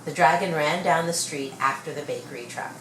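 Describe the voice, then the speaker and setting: A woman reading a children's story aloud with expressive, measured storytelling. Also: deep voice. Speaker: storybook reader. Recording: roomy, distant mic, faint noise.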